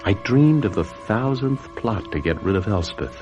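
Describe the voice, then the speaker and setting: An actor playing a bitter, scheming husband who is a trapped murderer, with an American accent and a brooding, melodramatic old-time radio delivery. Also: male voice, lowish voice, animated, normal speed, muffled recording, over music. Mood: seething. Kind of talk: old-time radio mystery narration and acting.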